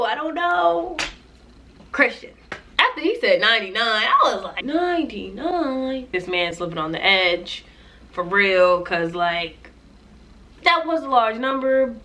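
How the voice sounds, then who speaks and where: A young woman vlogger talks in loose, joking reaction commentary. Her voice sits fairly high and rings bright and forceful. She rambles playfully, with lots of filler and asides. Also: a little hiss or hum.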